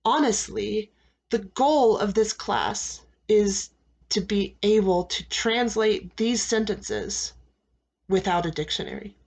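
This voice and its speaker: An American woman teaching English, giving students slow, deliberate advice and repeating key phrases with frequent "okay?" checks. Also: medium pitch, a little mellow, slightly rough. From United States. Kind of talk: classroom advice talk to students.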